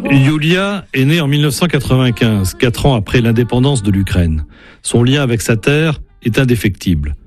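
A man's voice: mid-range voice, expressive, moderate pace, a little mellow, soft background music. Radio host, a man reading a script.

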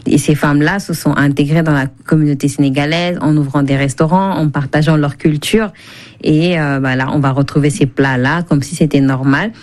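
A woman's voice: low-pitched, steady tone, moderate pace, a little mellow. A woman from Senegal explaining things conversationally as a guest in a radio interview, rephrasing as she goes. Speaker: guest, cookbook author and food blogger.

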